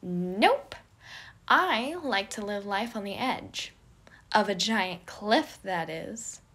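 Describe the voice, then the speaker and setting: A woman's voice: medium pitch, expressive, takes it slowly. A woman voicing a boisterous, theatrical and eccentric man in an audio story. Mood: brash amusement. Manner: loud, theatrical, exclaiming. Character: boisterous, gleefully chaotic eccentric man who scolds birds. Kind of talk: fan fiction audio story character.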